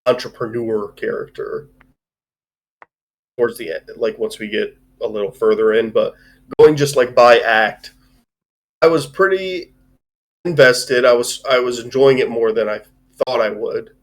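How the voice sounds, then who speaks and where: A man in a casual film discussion, speaking at a middling pitch. He rambles as he goes, stopping and restarting his thoughts, and swears freely. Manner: rambling, halting, often swearing. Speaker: movie review show host.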